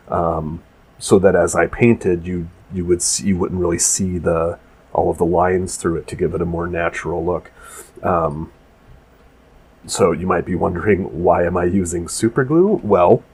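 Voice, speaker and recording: Man, deep and slightly husky, congested and nasal; loose rambling voice-over for a maker video. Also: darkish tone. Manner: rambling, full of restarts and fillers. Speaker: maker explaining his prop build. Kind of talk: casual voice-over narration of a build video.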